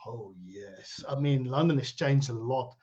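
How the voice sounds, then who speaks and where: A man's voice: mid-range voice, unhurried, darkish tone, dull audio. A middle-aged man with a South African accent, guest on a live-streamed interview, talking in a chatty, rambling way. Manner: chatty, rambling, laughs easily. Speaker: guest, cryptocurrency educator. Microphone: video call.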